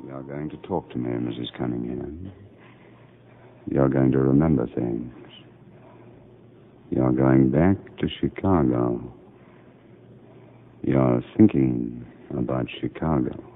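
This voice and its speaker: An actor playing a calm, authoritative male psychiatrist in a 1950s radio drama, speaking in a measured, insistent, deliberate way. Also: very deep voice, gravelly, phone-quality sound, slight background noise, exaggerated voice. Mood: soothing, commanding. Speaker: actor playing a doctor who also narrates. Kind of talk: 1950s radio drama acting, narration and hypnotic questioning. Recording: band-limited 1950 radio broadcast with music.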